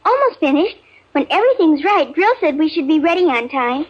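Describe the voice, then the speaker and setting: A woman's voice: sits high, very animated, brisk. An actress playing an eager, secretive young girl with an offhand, slangy, childlike manner in a 1950s radio drama. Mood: offhand, preoccupied. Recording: muffled vintage 1950s radio recording.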